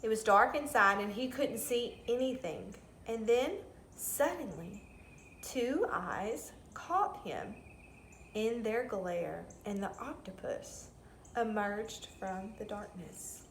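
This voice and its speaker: Lady doing a kids read aloud, expressive, takes her time, echoey room w bit of noise. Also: medium pitch, slow pace. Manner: expressive, explains words to children. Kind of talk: children's story narration with explanatory asides. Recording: roomy echo, some background noise.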